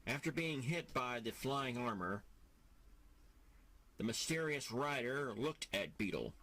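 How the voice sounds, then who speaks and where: A man narrating an audio drama from a script in a deliberate, dramatic storytelling manner. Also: mid-range voice, expressive, bright, forceful tone, a little hiss or hum.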